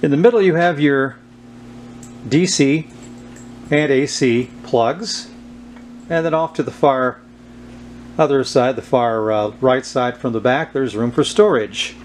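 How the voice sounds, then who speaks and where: Male presenter, mid-pitched voice, informal and unhurried, walking viewers through equipment with some background noise. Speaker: host of a vintage electronics video. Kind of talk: casual hands-on demonstration. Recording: clear steady background noise.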